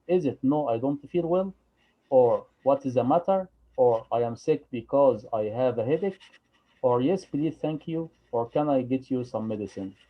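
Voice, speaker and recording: A man, teaching an English lesson, drilling phrases slowly and patiently for students to repeat. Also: medium pitch. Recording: dull lo-fi recording.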